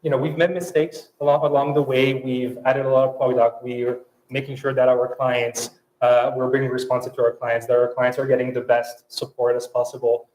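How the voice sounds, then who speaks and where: A man's voice: mid-range voice, level tone, a little mellow, roomy sound, rough recording. French-accented man with many restarts. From France. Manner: halting, many restarts and fillers. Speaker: panel guest. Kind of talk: fireside chat on stage.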